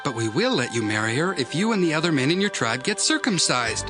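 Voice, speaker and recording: Stern young clansman, crafty, raised pitch, animated scripture drama over music. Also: fairly quick. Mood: stern, bargaining. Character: Jacob's son, stern, cunning young tribesman. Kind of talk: dramatized audio Bible acting.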